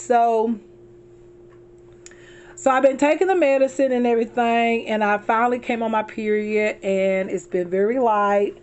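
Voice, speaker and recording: A hoarse middle-aged woman chatting casually to her viewers from her car, calling them y'all and repeating herself as she talks. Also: medium pitch, a little mellow. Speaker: vlogger.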